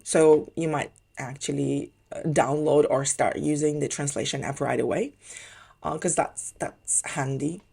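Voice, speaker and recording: A woman's voice: low-pitched, vocal fry, a little mellow. A young Ukrainian woman with a Ukrainian accent, a non-native English speaker, giving chatty step-by-step advice in a casual vlog. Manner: chatty, explaining step by step with fillers. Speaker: English teacher vlogger.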